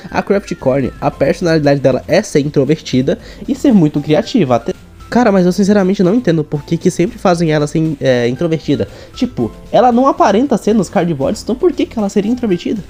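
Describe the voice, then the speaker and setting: Young Brazilian man, animated and softly muffled. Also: high-pitched, slightly rough. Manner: earnest, informal. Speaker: vlogger and amateur writer. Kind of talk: casual chat to viewers.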